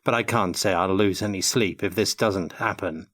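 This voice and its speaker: An older man giving measured, articulate answers as an interview guest in a prepared Q&A. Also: low-pitched, fairly bright tone. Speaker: interview guest, tabletop game designer.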